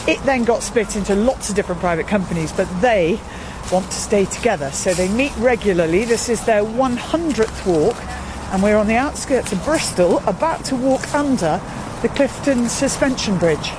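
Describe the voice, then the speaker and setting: A woman's voice: mid-range voice, expressive, normal speed. A British woman presenting a walking radio programme, describing the surroundings and interviewing as she goes. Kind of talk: descriptive on-location narration and interviewing. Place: outdoors on a wooded gorge path beside a busy road. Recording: outdoor recording with clear traffic noise. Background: road traffic and outdoor ambience.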